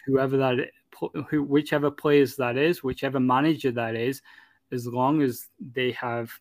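A man's voice: medium pitch, fairly even intonation, a little slow, no echo. A man from Manchester, chatting casually about football, rambling with many fillers and restarts. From Manchester, England. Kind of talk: casual chat.

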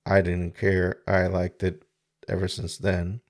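A man in his forties from the Dominican Republic, hosting a reflective solo podcast. He talks in a halting, searching way, with many pauses and restarts, into a studio microphone. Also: deep voice, monotone. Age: 40s. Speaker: podcast host. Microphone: studio microphone with mixer.

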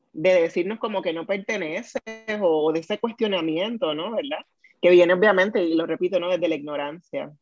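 A woman's voice: lowish voice, darkish tone, no echo, lo-fi sound. A Puerto Rican woman hosting an interview, speaking thoughtfully and often checking in with tag questions. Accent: Puerto Rican Spanish. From Puerto Rico. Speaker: podcast host. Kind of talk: conversational interview.

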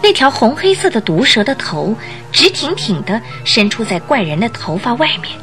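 A woman's voice: a little high, normal speed. A woman narrating a radio detective drama, telling the story in a dramatic, suspenseful way. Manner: expressive, suspenseful delivery. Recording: music under the voice.

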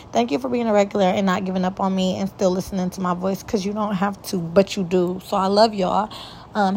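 A woman's voice: medium pitch, darkish tone, a little hiss or hum. A young woman, casually hosting a faith-based podcast and talking straight to her listeners. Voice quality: raspy. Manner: chatty, addresses listeners as "y'all". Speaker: podcast host.

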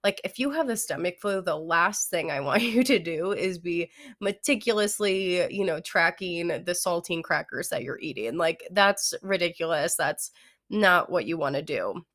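A woman's voice: lowish voice, expressive, moderate pace, a bit bright. A young woman with a head cold, her voice nasal and congested, chatting casually as a fitness coach giving advice on her podcast with lots of "like" and "you know".

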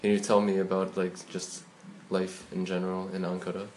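Male interviewer, deep voice, monotone, brief prompting questions. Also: fairly quick, slight background noise, rough recording. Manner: brief backchannel murmurs, short prompting questions.

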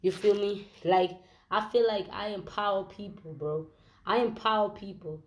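Hoarse slangy woman rambling to camera. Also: mid-range voice, fairly even intonation, normal speed. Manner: slangy, repeats fillers like "you know what I'm saying". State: hoarse from flu. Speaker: vlogger. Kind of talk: casual rambling monologue.

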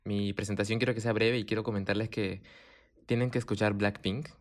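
A young man, a podcast guest chatting in a relaxed way with lots of fillers and restarts. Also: fairly low pitch, fairly quick. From Japan. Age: young adult. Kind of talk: casual chat.

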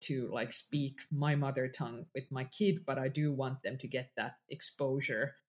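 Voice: medium pitch, fairly even intonation, moderate pace. Reflective speaker. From Finland. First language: Finnish. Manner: reflective, with frequent restarts. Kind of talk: casual conversation.

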